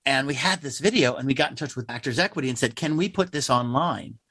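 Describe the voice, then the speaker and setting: A man's voice: medium pitch, expressive, fast, bright, forceful tone, husky, no room sound, clean studio sound. A man speaking conversationally as a theater guest in an interview, telling things like a storyteller.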